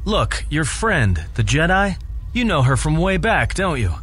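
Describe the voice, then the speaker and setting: A man's voice: a little high, very animated, unhurried, a bit bright, faint music behind. A man playing a brash, insecure and easily riled spacer in a video game, talking in a casual, pushy, defensive way. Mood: awkward probing. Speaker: game character. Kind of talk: conversational game dialogue.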